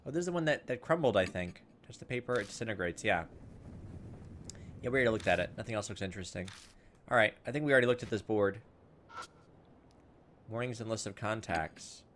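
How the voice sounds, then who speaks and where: A man's voice: fairly low pitch, fairly bright tone, a little hiss or hum. A man doing gameplay commentary, casually thinking aloud and reading visual novel text as he goes. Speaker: video game playthrough host.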